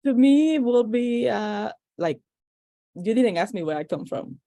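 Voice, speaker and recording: A middle-aged woman with a Dominican Spanish accent, a native Spanish speaker answering casually and haltingly as a podcast guest. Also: on the high side, lively intonation, dark tone, no room sound. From Dominican Republic.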